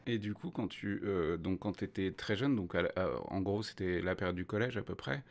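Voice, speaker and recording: Low gravelly French man hosting. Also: fairly quick. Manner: hesitant, many restarts and fillers. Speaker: host. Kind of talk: casual interview chat.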